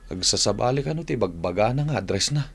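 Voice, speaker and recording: An actor playing a worried, lovesick young man in an old radio drama, talking softly and apologetically. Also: on the low side, animated, fast talker, gravelly, husky, exaggerated voice. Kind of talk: 1990s-style AM radio drama acting. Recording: old AM radio recording, faint hiss.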